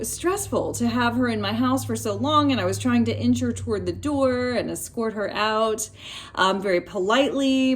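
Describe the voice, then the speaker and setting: A woman talking casually and expressively about books and telling personal stories, often pausing mid-thought as a vlogger does. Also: mid-range voice. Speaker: book reviewer vlogger. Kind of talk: casual chatty book review and personal storytelling.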